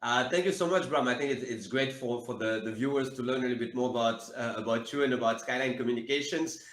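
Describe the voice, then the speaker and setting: A man's voice: medium pitch, fast, fairly bright tone, roomy sound, a little hiss or hum. A man hosting an interview, friendly but hesitant, with many fillers and repeats, on a video call. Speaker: podcast host.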